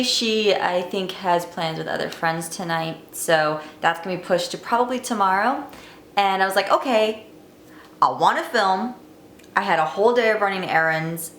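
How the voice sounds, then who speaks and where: A woman's voice: lowish voice, fairly bright tone, slight background noise. Wry, chatty young woman, roomy sound. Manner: candid, wry, chatty with fillers. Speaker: vlogger. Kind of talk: casual storytelling to camera. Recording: echoey room, faint ambience.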